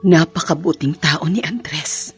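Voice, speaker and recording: A woman acting a gentle, sympathetic confidante in a radio drama, speaking softly and in a measured way. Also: sits low, breathy edge, faint music behind, heavily acted. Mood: consoling, hushed.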